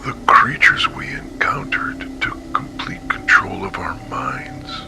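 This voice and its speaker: Guy doing a haunted survivor in a horror tape, whispery and breathy, real slow and halting. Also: low-pitched, sing-song, over music, heavily acted. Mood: haunted, grim. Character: traumatized male survivor of a monstrous captivity, haunted and weak. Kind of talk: analog horror found-footage testimony.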